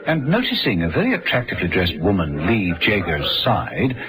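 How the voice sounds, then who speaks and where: An actor playing an arch, wry British storyteller, narrating a scripted radio drama. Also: male voice, low voice, huge pitch swings, normal speed, a crowd in the background. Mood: scene-setting. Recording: music playing behind.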